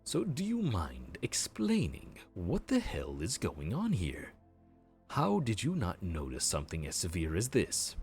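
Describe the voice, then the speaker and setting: A man's voice: deep voice, huge pitch swings, a little slow, a little mellow, faint music behind, heavily acted. A man voicing a weary male grim reaper who runs the underworld queue, a sarcastic and exasperated bureaucrat. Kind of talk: audio roleplay.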